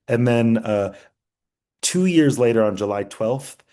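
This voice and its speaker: A young man teaching a class, talking earnestly with many fillers, restarts and pauses. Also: mid-range voice, expressive, dark tone. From United States. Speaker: journalism instructor. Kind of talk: class lecture with slides.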